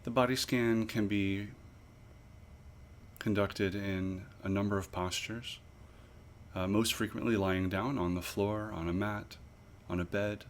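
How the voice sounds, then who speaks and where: A man leading a guided body scan meditation as an instructor, with measured, pausing, gently instructive phrasing. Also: fairly low pitch, steady tone, slight background noise.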